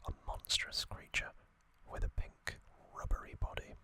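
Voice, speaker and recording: An ASMR host whispering slowly, rambling through trivia with fillers and musings. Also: sharp tone. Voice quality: unvoiced whisper.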